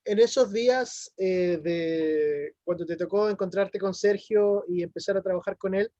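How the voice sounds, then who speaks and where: High-pitched male interviewer on dull audio. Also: a little slow, warm tone, no room sound. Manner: brief affirmations and questions. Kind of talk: interview questions. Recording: dull dry lo-fi sound.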